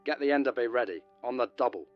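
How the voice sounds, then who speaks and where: A man's voice: mid-range voice, quick pace, natural voice. Man acting brash, blustering expedition leader on radio. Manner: loud, brash, commanding. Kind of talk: audio drama acting. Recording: dull radio transmission over music.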